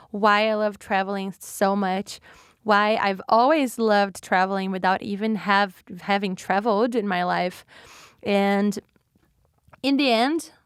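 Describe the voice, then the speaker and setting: A woman's voice: mid-range voice, smooth, no echo, studio quality. A young woman from Brazil with a Brazilian Portuguese accent, hosting a solo podcast in a chatty, reflective way, with fillers and restarts. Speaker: podcast host.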